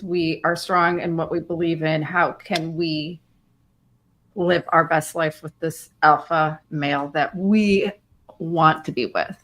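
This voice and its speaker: Woman, podcast host, bright sharp tone, slightly lower pitch, conversational interview questions.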